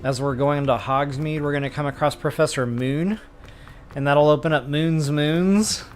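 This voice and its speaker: Casting: male game streamer, fairly high voice, chatty and informal, music behind. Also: moderate pace. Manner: chatty, addresses viewers informally. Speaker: gaming streamer. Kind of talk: casual video game walkthrough commentary.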